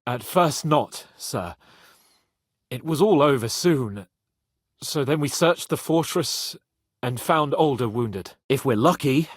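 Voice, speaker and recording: An actor playing a proud, hot-headed young medieval nobleman in a dramatic video game, with a haughty and expressive delivery. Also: medium pitch, breathy edge, no echo, clean studio sound. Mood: formal, grave. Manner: haughty, expressive, swearing freely.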